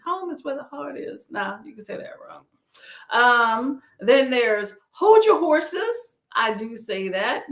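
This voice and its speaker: A woman's voice: fairly high pitch, a bit bright, roomy sound. A woman in her thirties from Texas with a light Southern accent, chatting casually and laughing often as she hosts a book livestream. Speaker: booktube livestream host. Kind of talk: casual live chat.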